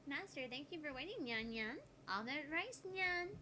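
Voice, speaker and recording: A woman's voice: sits high, lively intonation, moderate pace, background noise. A woman voicing a playful young cat-girl waitress who peppers her sentences with cat-like nya sounds. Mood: playful, cute.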